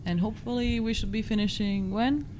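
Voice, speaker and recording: A woman's voice: fairly high pitch, steady tone, brisk, a little mellow, a little hiss or hum, low-quality recording. Croatian-accented young woman, chatty. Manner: chatty, self-deprecating, non-native phrasing. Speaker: podcast co-host. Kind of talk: casual chat.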